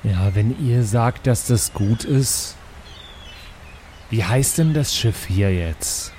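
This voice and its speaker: Low slow-talking guy, kinda whiny and hesitant, playing his character. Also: darkish tone, slight background noise. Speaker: player in a tabletop game. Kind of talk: improvised in-character play.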